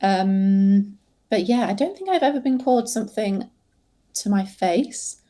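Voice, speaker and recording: A woman's voice: mid-range voice, lively intonation, measured pace, dark tone. An English woman being interviewed as a social media manager, talking casually with many fillers and restarts. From England.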